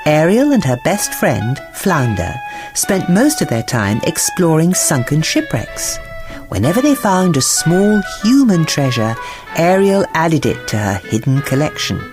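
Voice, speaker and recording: Low-voiced woman telling a children's story, animated. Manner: expressive, theatrical storytelling. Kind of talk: scripted children's bedtime story narration.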